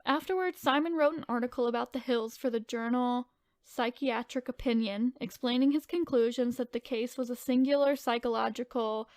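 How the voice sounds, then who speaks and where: A woman with a fairly high voice co-hosts a podcast. She tells things in an informal, conversational way, sometimes reading from prepared notes. The sound is dry, with very little room echo. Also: moderate pace. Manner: informal, filler-heavy, hedging retelling. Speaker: podcast co-host telling the story. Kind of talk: casual chat mixed with reading from notes.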